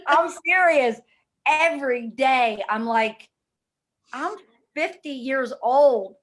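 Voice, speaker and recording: A woman's voice: high voice, slow, a bit bright. A woman in her forties speaking candidly and emphatically about her own experience as a fitness program client, with blunt, casual swearing. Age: 40s. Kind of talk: candid testimonial conversation.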